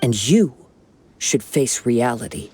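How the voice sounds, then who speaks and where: An actor playing a calm, perceptive young wandering swordsman in a video game, speaking in a measured, eloquent and earnest way. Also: medium pitch, slightly rough, heavily acted. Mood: firm, challenging. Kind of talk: dramatic video game voice acting.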